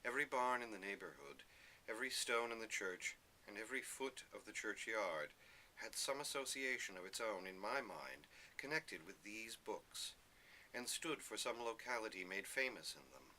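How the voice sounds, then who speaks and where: A man giving an expressive literary reading in the first person, with measured, dramatic phrasing. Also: on the low side, a little hiss or hum, low-quality recording. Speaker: reader of a classic novel excerpt.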